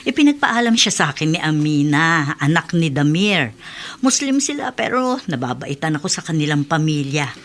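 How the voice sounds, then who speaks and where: A woman's voice: sits low, big pitch swings, normal speed. An actress playing a worried middle-aged mother in a radio drama.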